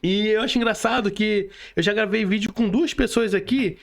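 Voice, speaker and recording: A man's voice: high-pitched, fairly even intonation, moderate pace, gravelly. A man with a Brazilian accent explaining things in a casual podcast chat, slangy and emphatic, often repeating his words. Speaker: podcast guest, affiliate marketing mentor.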